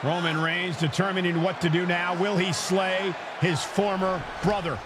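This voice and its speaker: A man's voice: high-pitched, flat delivery, moderate pace. A man doing urgent, dramatic play-by-play wrestling commentary, repeating calls and firing off rhetorical questions. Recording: very noisy arena crowd. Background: roaring crowd, slams.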